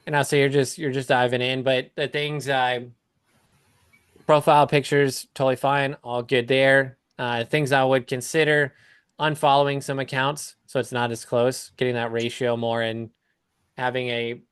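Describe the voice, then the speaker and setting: A man's voice: mid-range voice, flat delivery, moderate pace, a bit bright, clean tone, no echo, studio quality. A man talking casually as a coach on a live call, explaining things step by step with examples. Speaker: business coach hosting a client call.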